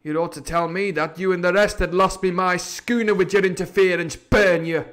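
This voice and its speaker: A man acts out a swaggering pirate sea cook from a storybook, pitched up high and pushed loud. He blusters and commands in rough sailor's speech, with a sardonic edge. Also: steady tone, normal speed. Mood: angry, threatening. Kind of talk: theatrical storybook reading.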